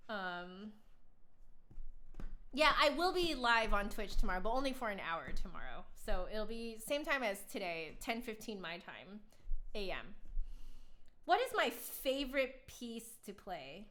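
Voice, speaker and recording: A young adult woman talks casually to her live-stream viewers from home. She rambles along with plenty of fillers and restarts, and her voice sits at a middle pitch. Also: a bit bright. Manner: chatty, rambling with many fillers. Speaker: flutist streamer.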